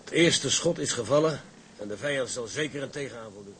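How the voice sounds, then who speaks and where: A man's voice: medium pitch, brisk, a bit bright, slight background noise, natural voice. An actor playing a blustery, plain-spoken seaman in a Dutch period radio drama, blunt and hearty. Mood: grave.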